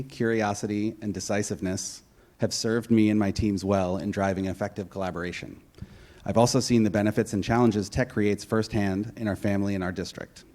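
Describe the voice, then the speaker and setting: A man's voice: on the low side, a little mellow. A man in his forties, a school board candidate, reading an earnest prepared statement at a public forum. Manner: earnest, reads a prepared speech.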